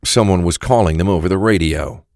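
A man's voice: low-pitched, fairly quick, creaky, a little mellow, no room sound, studio quality. A man narrating a scripted crime audio drama.

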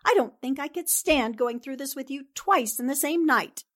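A woman playing a sharp, confident newspaperwoman in a 1940s-style radio drama. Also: high voice, moderate pace. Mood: brisk, wry. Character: sharp, confident newspaperwoman explaining a murder plot. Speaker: voice actor in an amateur radio drama.

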